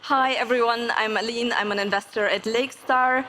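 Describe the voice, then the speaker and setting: Woman, German accent, high voice, panelist explaining, faint live-event noise. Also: fairly even intonation, moderate pace, bright, forceful tone. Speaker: investor, panelist. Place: conference main stage.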